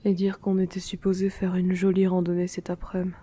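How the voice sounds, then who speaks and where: A woman's voice: on the low side, completely flat delivery, brisk, dark tone, natural voice. A woman voicing a grumpy, sulky young woman in an ASMR roleplay, grumbling in a half-whisper. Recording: rain and storm ambience.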